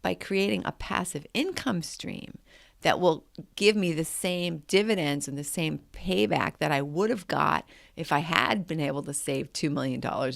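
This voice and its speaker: Gravelly-voiced woman in her late fifties. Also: on the low side, dry recording, clean studio sound. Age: 50s. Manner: chatty and conversational, with frequent "like" and "I mean". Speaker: podcast host. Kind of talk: motivational podcast monologue.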